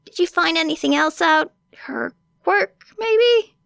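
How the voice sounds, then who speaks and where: A woman's voice: very high voice, lively intonation, a little slow, vocal fry, a bit bright, no echo, voice pushed up, full character voice. A woman voicing an easygoing, teasing male detective in a lifted, friendly voice.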